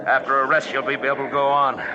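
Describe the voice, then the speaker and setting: An actor playing a tough, resolute mountain climber in a 1950s radio drama, with somber, suspenseful dramatic phrasing, on a very noisy old recording. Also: male voice, mid-range voice, flat delivery, fast talker, lo-fi sound, close to an everyday voice. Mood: urgent, firm. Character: tough, resolute male mountain climber recounting a doomed expedition.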